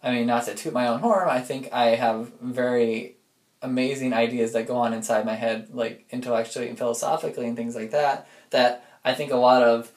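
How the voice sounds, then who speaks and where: A man records a casual personal audio log in an echoey room. His voice sits at an average male pitch. He talks informally and reflectively, wandering through his thoughts as he goes. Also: fairly even intonation, a little fast. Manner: informal, reflective, loses and regains his thread. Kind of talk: casual rambling personal monologue.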